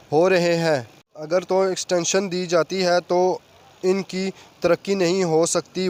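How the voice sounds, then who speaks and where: A man delivering a political news commentary monologue, sometimes halting and stumbling over his words. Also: sits high. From Pakistan.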